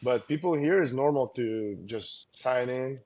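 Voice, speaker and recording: A man, a combat sports coach, talking in a casual interview. He speaks haltingly, with many fillers and restarts, and gives earnest advice. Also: medium pitch, darkish tone.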